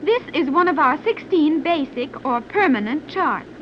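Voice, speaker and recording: A woman's voice: sits high, expressive, a little slow. A coolly confident young woman from a secret advanced island pilots her submarine. Her phrasing is formal and precise, in 1930s serial style, on a dull old recording with light hiss. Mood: calm, assured. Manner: formal, precise, stilted phrasing without contractions. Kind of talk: 1930s radio serial acting.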